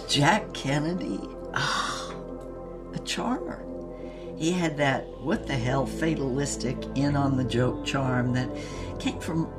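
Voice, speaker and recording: An elderly woman, a former screen siren telling her scandalous memoir in an audio drama. She speaks very slowly, with theatrical wistfulness and worldly ease, over prominent music. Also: a bass voice. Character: elderly woman film star recalling scandalous love affairs. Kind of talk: dramatic first-person storytelling.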